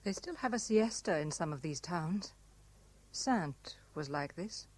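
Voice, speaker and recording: A woman's voice: lowish voice, expressive, a little slow. Troubled, wistful British young woman. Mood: brooding. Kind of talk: 1970s British radio drama acting.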